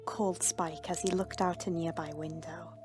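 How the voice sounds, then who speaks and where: A woman's voice: lowish voice, little pitch movement, moderate pace. A woman reading a dramatic scripted fantasy story as the narrator. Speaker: fan fiction narrator. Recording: music under the voice. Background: background music.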